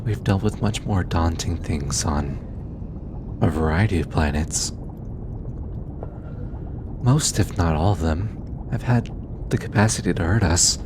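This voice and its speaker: A man voicing an anxious, earnest alien scientist in an intimate audio roleplay, hesitant and halting, as if easily flustered. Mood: grave, concerned. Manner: hesitant, halting, stammering, sighing. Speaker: voice actor. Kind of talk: scripted audio roleplay, intimate one-sided dialogue. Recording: clearly noisy, low rumbling background.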